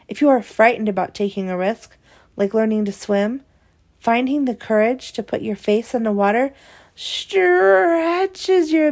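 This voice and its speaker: A woman's voice: a little high, vocal fry, a little mellow. A woman reading a children's picture book aloud, explaining it expressively to young listeners. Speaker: read-aloud presenter.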